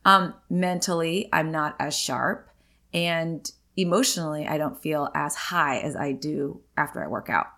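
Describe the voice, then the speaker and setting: A woman in her late forties hosts a solo podcast in an easy, confessional chat. Her voice sits a little low for a woman, and she talks openly, filling her sentences with ums and likes as she goes. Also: measured pace, bright, forceful tone. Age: 40s. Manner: chatty and candid, many ums and likes. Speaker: podcast host.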